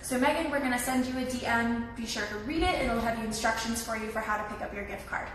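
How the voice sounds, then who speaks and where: A young woman with a slightly raspy voice from a cold, giving a friendly, chatty live tour of an apartment building. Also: a little high, fast talker, a bit bright, slight background noise. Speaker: leasing tour host. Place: echoey empty indoor rooms. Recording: echoey room from far off.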